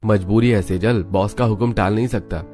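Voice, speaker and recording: A man's voice: lowish voice, steady tone, normal speed, dark tone, lightly acted. A man voicing an exasperated young husband in a scripted cartoon story, talking in a weary, lecturing way. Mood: apologetic. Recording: music under the voice.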